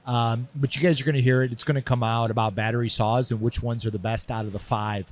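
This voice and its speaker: A man's voice: mid-range voice, fast. Podcast host guy, mid voice, jokes around, pushes folks to share the show. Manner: joking, pushy, energetic banter. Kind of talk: casual podcast interview.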